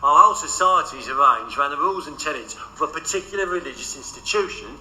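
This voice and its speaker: A man's voice: fairly high pitch, lively intonation, quick pace, sharp tone, a little hiss or hum. A male actor playing an earnest, argumentative man of Tudor London in a radio drama. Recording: echoey hall with long reverb.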